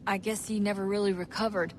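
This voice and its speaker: A woman's voice: mid-range voice, level tone, fast talker, a little hiss or hum, natural voice. An actress playing an easygoing, supportive young woman on a covert team in a video game, casual and friendly. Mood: sympathetic, reassuring. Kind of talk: video game cutscene acting.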